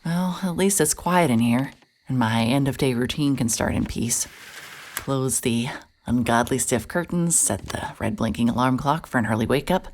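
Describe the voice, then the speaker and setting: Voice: mid-range voice, normal speed, slight background noise, close to an everyday voice. An actor playing a hard-hearted private investigator who narrates in a dry, wry inner monologue. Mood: weary. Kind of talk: noir-style inner narration.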